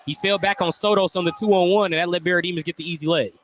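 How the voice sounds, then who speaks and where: Higher-pitched man calling live basketball. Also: fairly even intonation, quick pace, a bit bright. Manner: clipped, descriptive call of each play. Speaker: play-by-play announcer. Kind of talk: live basketball radio commentary. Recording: noisy live sports broadcast.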